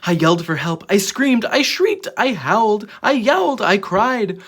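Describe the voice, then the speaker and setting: A children's story reader with a husky, slightly rough voice. The delivery is dramatic and highly animated, swinging up and down in pitch as a storybook is read aloud. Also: unhurried.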